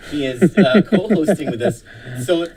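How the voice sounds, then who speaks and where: A man's voice: fairly high pitch, expressive, normal speed, over a noisy crowd. A man hosting a casual studio interview, chatty and laughing easily. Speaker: talk show host.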